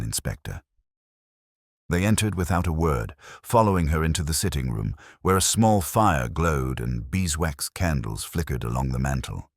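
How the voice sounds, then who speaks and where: Male mystery narrator, very low and breathy, deliberate and hushed delivery. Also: no echo, clean studio sound.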